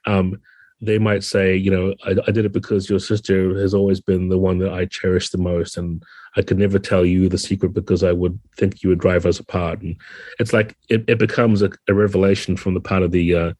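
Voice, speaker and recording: A man's voice: deep voice, little pitch movement, a little fast, dark tone, no room sound. A man speaking as an interview guest, thoughtfully explaining game design in a conversational way.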